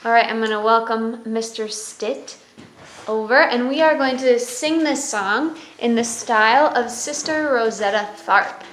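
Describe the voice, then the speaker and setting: A woman's voice: on the high side, unhurried, a bit bright, a little hiss or hum. A woman hosting a children's story time, reading aloud and explaining in a simple way. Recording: roomy echo with music.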